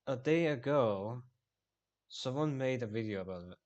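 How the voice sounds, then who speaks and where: A man from Finland livestreaming casually, rambling with halting restarts. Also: mid-range voice, animated, a little mellow.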